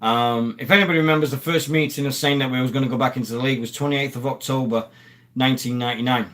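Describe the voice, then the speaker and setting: Man in his fifties, rambling live-stream host, bright forceful voice. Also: medium pitch, fairly even intonation, fast talker. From Sheffield, England. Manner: rambling and chatty, greeting viewers and reading the chat aloud. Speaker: live stream host, former rugby league player. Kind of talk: casual live stream chat.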